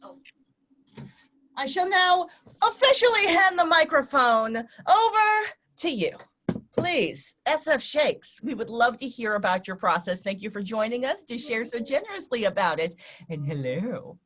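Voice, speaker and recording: A woman's voice: fairly high pitch, very animated, measured pace, a bit bright, slight background noise. A woman hosting an online gathering, effusive and welcoming as she introduces people and hands over the floor. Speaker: host and facilitator. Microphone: video call.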